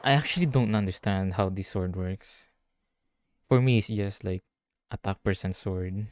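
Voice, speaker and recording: Low-voiced Filipino man streaming a game. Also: normal speed, dry recording, studio quality. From Philippines. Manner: musing, thinking aloud. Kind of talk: casual livestream game commentary. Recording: game music behind the voice. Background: video game music.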